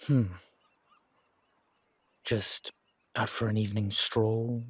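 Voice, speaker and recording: A man playing a menacing, wry pirate captain in a roleplay audio, speaking in a taunting, deliberate drawl with dramatic pauses. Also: fairly low pitch, level tone, husky, no echo. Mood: suspicious, taunting. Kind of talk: scripted roleplay audio acting.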